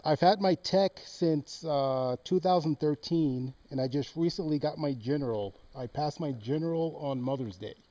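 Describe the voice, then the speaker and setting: A man's voice: on the high side, normal speed, few pauses, warm tone, no echo. A man from Oregon chatting casually as an amateur radio operator. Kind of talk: casual ham radio chat. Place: outdoors on a mountain, speaking into a handheld radio.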